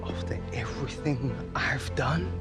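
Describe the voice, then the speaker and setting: A man's voice: medium pitch, a little slow, natural voice. A man acting a wounded, hot-headed young footballer who feels let down, in a video game cutscene. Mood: angry, hurt. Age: young adult. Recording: music behind the voice.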